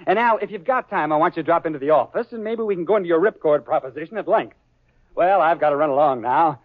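A mild-mannered, wealthy young heir who stammers politely, with a lively, rising and falling voice. The sound is thin and phone-like, and dry like an old radio transfer. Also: male voice, on the high side, fast talker, no echo. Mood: courteous. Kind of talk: 1940s radio sitcom acting.

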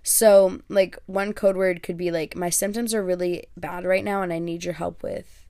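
A woman's voice: on the low side, level tone, moderate pace, dark tone, no room sound, clean studio sound. A young woman whose first language is Greek, hosting her podcast in a chatty, self-deprecating way with lots of fillers. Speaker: podcast host. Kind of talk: casual solo podcast talk.

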